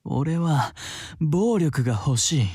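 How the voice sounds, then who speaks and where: A man's voice: a little high, animated, unhurried, husky, no room sound, studio quality, heavily acted. An actor playing a troubled, obsessive young man in a visual novel, intense and pleading. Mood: dark, confessional. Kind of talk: Japanese visual novel acting. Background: soft game music.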